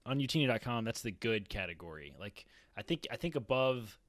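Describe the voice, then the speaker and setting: A man's voice: mid-range voice, expressive, brisk, a bit bright, no echo. Hesitant man, casual, dry close sound. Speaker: podcast panelist. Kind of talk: casual chat. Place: home room, indoors. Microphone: close podcast mic on video call.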